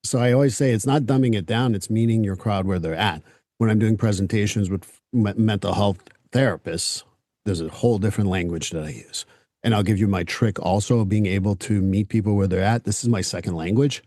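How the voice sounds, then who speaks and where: A man with a French Canadian accent, a native French speaker, hosting a casual podcast interview and talking bluntly, even swearing. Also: on the low side, fairly quick, no room sound, studio quality. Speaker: podcast host.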